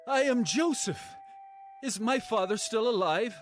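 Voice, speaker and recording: An actor playing a commanding yet tender brother and governor in a dramatized scripture, speaking in an earnest, declamatory way. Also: male voice, high voice, a little slow, soft background music. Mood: overcome, urgent.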